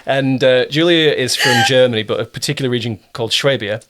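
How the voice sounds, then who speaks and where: A man from Kent with a southern English accent, hosting a conversational interview in a chatty, reassuring way and often pausing to explain things. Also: mid-range voice, fairly bright tone. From Kent, southern England. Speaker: interview host, producer and director.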